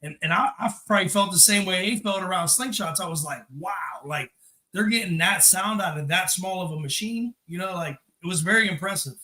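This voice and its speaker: An easygoing man chats on a livestream, agreeing readily with the others. His voice is somewhat high and bright, and it comes through an echoey room. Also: fairly quick. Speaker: podcast co-host. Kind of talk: casual livestream chat.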